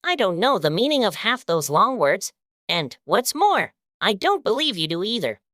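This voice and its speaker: A man's voice: sits high, big pitch swings, normal speed, pushed and bright, no room sound, clean studio sound, voice pushed up, exaggerated voice. A man voicing a blunt, cheeky young eaglet in a storybook drama. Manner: blunt, teasing.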